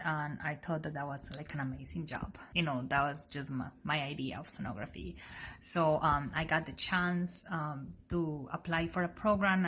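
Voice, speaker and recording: A young woman from Colombia with a Colombian Spanish accent, a sonography student telling her story haltingly, with many fillers and restarts. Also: lowish voice, fairly bright tone, slight background noise, poor audio. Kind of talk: casual personal testimony.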